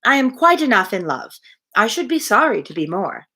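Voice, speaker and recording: Casting: female reader as genteel young heroine of a storybook, composed, musing, emphatic, with highish, animated pitch. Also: moderate pace, full character voice. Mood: self-assured. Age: young adult. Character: self-assured young genteel woman, musing over her suitor.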